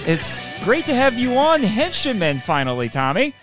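A man's voice: high-pitched, huge pitch swings, normal speed, music in the background. A man hosting a podcast in casual chat, laughing easily and correcting himself as he goes. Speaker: podcast host.

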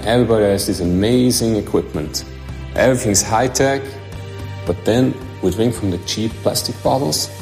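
A man from Switzerland with a very deep voice delivers an earnest, scripted sales pitch. His voice rings in a roomy space with a long tail, and soft music plays behind him. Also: normal speed. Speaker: product pitchman in a crowdfunding video. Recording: roomy echo with background music.

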